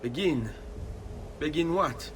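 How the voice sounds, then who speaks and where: Proud young Italian nobleman, higher-pitched. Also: animated, takes it slowly, slight background noise. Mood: confused, defiant. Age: young adult. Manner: earnest, guarded, resolute. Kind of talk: video game voice acting.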